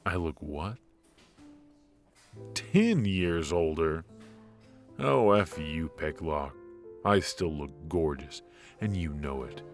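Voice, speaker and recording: A man voicing a charismatic ex-mafia rogue in an ASMR roleplay, his voice extremely deep and his delivery slow and unhurried. He teases and flirts playfully, but he is stiff and worn from his injuries. Faint music plays behind him. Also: animated, full character voice. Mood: teasing.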